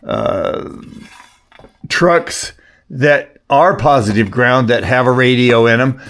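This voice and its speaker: An older man talking casually and unscripted as a hobbyist showing his gear, rambling and halting, often trailing off mid-thought. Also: mid-range voice, fairly bright tone. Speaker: CB radio hobbyist. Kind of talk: casual unscripted product talk.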